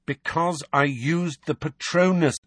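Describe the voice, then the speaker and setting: A man's voice: fairly high pitch, a little slow, a bit bright, no echo, pitched above the performer's own voice. Man voicing an irritable, short-tempered teenage boy. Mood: exasperated. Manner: terse, tense, irritable.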